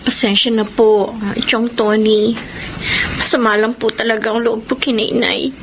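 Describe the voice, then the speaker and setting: A woman acts an aggrieved young daughter in a radio drama. Her high voice pleads respectfully through clearly noisy audio. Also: little pitch movement, moderate pace, close to an everyday voice. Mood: hurt, aggrieved. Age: young adult.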